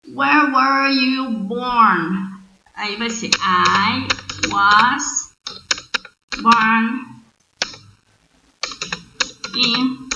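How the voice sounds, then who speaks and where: A woman's voice: fairly high pitch, sharp tone. A woman with a Brazilian accent, a native Portuguese speaker, teaching an English lesson and spelling out sentences word by word in an explanatory way. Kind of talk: bilingual online grammar lesson. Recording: roomy, muffled lo-fi audio with faint noise.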